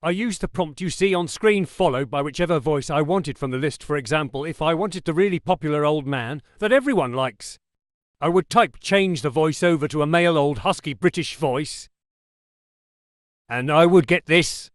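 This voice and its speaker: A man casually narrating a tutorial as its host, in a relaxed, chatty way. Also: fairly high pitch, moderate pace, no echo, studio quality. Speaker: tech video host.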